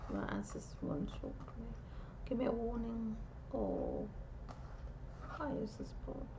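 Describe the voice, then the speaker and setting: A woman giving a casual, meandering psychic reading, pausing and restarting often, on a dull lo-fi recording. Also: a little high, huge pitch swings, gravelly, heavy background noise. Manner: meandering, with frequent restarts and pauses. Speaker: psychic reader.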